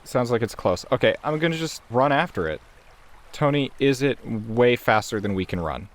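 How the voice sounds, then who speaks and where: A man's voice: medium pitch, animated, normal speed. A man playing a tabletop roleplaying game, casually talking through what his character does.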